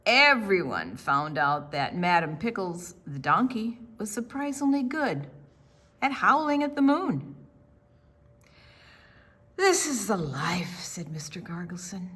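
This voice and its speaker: A woman reads a picture book to young children as a storytime host. Her voice sits a little low and swings widely in pitch. She takes her time, shaping each phrase theatrically and deliberately. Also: big pitch swings, a bit bright, slightly rough.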